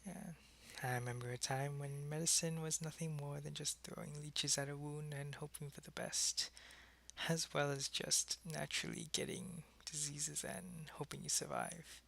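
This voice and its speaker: A man playing a wistful, friendly, centuries-old vampire in an intimate, soft-spoken ASMR roleplay, talking casually and often slipping toward a whisper. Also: fairly high pitch, steady tone, normal speed, creaky. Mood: wistful, reminiscing.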